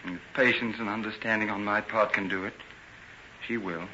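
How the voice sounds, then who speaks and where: An upright earnest gent in an old radio drama, sharp pressing voice, muffled hissy tape. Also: male voice, lowish voice, steady tone, moderate pace. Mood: softened, sincere. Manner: earnest, direct, theatrical. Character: earnest, reproachful gentleman rival in love, honourable. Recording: dull, noisy old radio recording.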